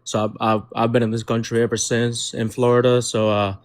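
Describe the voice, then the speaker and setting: Calm caller from Venezuela. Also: male voice, mid-range voice, fairly quick. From Venezuela (Zulia). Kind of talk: call-in debate.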